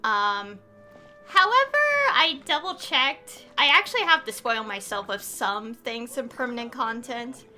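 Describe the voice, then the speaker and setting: Bright, high-voiced woman streamer. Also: lively intonation, a little slow. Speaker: game streamer. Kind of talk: casual livestream chat.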